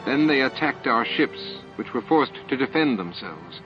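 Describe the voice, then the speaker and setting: A man's voice: mid-range voice, animated, normal speed, voice pushed up, close to an everyday voice. A man voicing an earnest, troubled young female alien scientist in an audiobook drama. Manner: earnest, anxious, hesitant. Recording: dull lo-fi audio with music.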